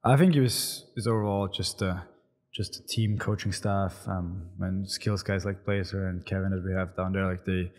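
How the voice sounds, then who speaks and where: A man in his twenties with an Austrian German accent, a pro hockey player answering interview questions in a halting way, full of fillers and restarts. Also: deep voice, fairly even intonation, darkish tone. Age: 20s. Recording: long reverb, echoey room.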